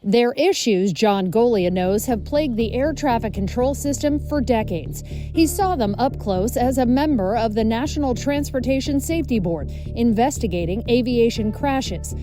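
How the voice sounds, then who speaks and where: Higher-voiced woman narrating investigative news. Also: animated, normal speed, over music.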